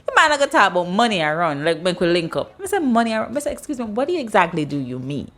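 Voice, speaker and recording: A woman in her thirties with a Jamaican accent, hosting a casual chat and telling stories with acted-out dialogue. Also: racing. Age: 30s. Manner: animated storyteller, acts out dialogue in high voices. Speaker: host.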